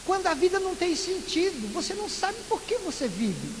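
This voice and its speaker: High-voiced pastor preaching in an echoey church. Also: male voice, a little fast. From Recife, northeastern Brazil. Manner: lively preaching full of rhetorical questions and playful asides. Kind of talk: sermon. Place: church sanctuary. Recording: echoey church hall with loud hiss.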